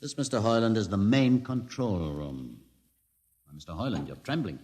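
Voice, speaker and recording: An actor playing a sardonic, commanding older rebel leader in a 1950s science fiction radio drama, speaking with urbane, deliberate condescension. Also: male voice, lowish voice, lively intonation, warm tone, husky. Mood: knowing. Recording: 1950s radio recording, long reverberant hall.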